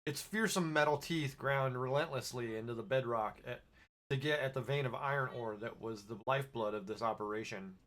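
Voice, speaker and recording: Man, story reader, steady measured pace, mid-pitched voice. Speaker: podcast host reading his own fiction. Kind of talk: audiobook-style story reading.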